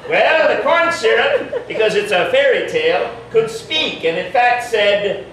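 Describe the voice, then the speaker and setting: Man playing a high-pitched grandpa storyteller, echoey stage. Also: lively intonation, a bit bright, full character voice. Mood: mock-earnest. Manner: deliberate, mock-earnest storytelling. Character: grandfather telling a slow, suggestive bedtime story to grandson. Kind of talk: improvised comedy storytelling. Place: indoor theatre stage. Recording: roomy stage hall, distant mic, audience noise.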